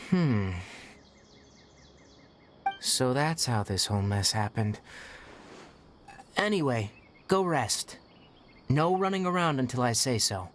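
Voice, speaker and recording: An actor voicing a young male forest ranger and healer in a video game, calm and matter-of-fact with a light teasing edge. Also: medium pitch, slow pace, long pauses, noisy background. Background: game music.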